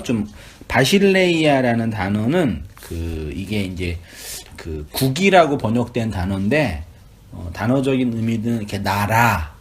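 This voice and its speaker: A man's voice: medium pitch, a little mellow. A male pastor teaching a small Bible study group, his voice rising and falling a lot as he talks. He keeps it conversational, tossing questions to the group and laughing easily.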